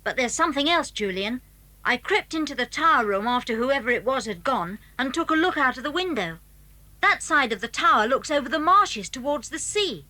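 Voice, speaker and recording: A keen schoolboy conspirator in an adventure drama. The voice is high, sharp and very dry, with eager storytelling phrasing. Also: female voice, expressive, brisk, dry recording, low-quality recording. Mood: excited, conspiratorial. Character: keen, secretive schoolboy telling of his exploits. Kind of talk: scripted children's audio drama.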